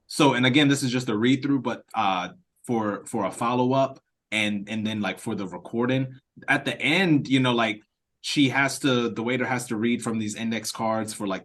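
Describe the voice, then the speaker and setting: Guy on a video call running a script read-through, casual, kinda halting, thinks out loud. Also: medium pitch. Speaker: writer and director leading a script read-through. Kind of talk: casual creative feedback discussion.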